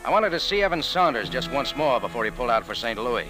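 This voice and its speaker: A man's voice: mid-range voice, sing-song, fast, close to an everyday voice, low-quality recording. A man with an American accent playing a tough, sardonic café owner and sleuth in an old-time radio drama, clipped and hard-boiled. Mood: wry, brisk. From United States. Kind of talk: old-time radio detective narration and acting. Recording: old radio drama, faint music behind.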